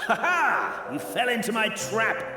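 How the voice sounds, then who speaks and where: Hammy, animated cornered minion. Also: male voice, a little high, normal speed, noisy background. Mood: gloating. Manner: hammy, stammering, mock-storybook narration. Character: cornered, cheeky, theatrical male minion narrating his own escape. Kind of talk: video game voice acting. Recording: echoey hall reverb, music behind.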